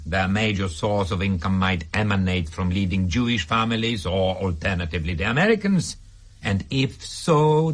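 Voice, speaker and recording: An actor in a radio drama playing a sly older Soviet bank chairman, measured, knowing and calmly menacing. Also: on the low side. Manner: measured, knowing, hesitant pauses.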